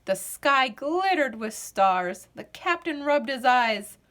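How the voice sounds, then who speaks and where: Female picture-book narrator, expressive and deliberate, lively swooping pitch on the high side. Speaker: storyteller. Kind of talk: children's picture book read-aloud.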